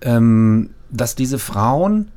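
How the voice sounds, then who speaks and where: A man asks long, meandering questions in a mid-pitched voice. He hesitates often and fills his pauses. He sits at a studio mic. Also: very animated, mellow. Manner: hesitant, long winding questions with many fillers. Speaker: interviewer. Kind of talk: interview questions.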